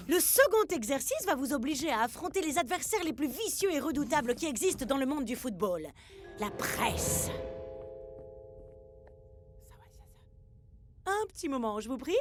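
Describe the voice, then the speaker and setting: A woman's voice: sits high, very animated, a little fast, faint music behind. A woman playing a formal, theatrical female examiner in a French cartoon dub. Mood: formal, brisk. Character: formal female examiner running a coaching test.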